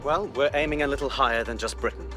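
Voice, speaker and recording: An actor playing a calm, menacing counterterrorism director in a video game, speaking in a measured, oratorical way. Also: male voice, mid-range voice, close to an everyday voice. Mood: smug.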